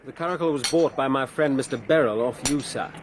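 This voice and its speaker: An actor playing an upright young gentleman in a period radio drama, speaking clipped, formal, insistent upper-class English. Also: medium pitch, brisk, noisy background, close to an everyday voice. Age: young adult. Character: indignant, upright young English-sounding gentleman, a lord's son.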